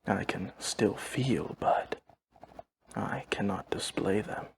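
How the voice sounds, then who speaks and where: A courteous old vampire servant speaks at an unhurried pace with a heavy fry in his low voice. His delivery is formal and drained of emotion, as if reporting to a guest in an audio roleplay. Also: whispered. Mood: flat, solemn. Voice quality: gravelly, breathy husk. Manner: formal, measured, deadpan, no contractions. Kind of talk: audio roleplay acting, addressing the listener.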